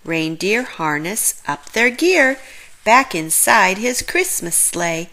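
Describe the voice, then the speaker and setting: A woman narrates a picture book for young children at a very leisurely pace. Her pitch rises and dips in a lively way. She stretches words and leaves dramatic pauses for effect. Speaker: children's story reader.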